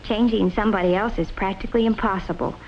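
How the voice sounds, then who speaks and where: A woman's voice: mid-range voice, fairly even intonation, fast talker, close to an everyday voice. An actress playing a thoughtful young college woman who narrates in the first person in a 1950s film. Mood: reflective. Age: young adult. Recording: muffled old film soundtrack, faint hiss.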